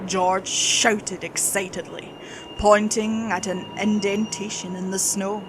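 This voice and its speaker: A woman's voice: medium pitch, flat delivery, slow pace, background noise. A woman reading a scripted horror story as its narrator.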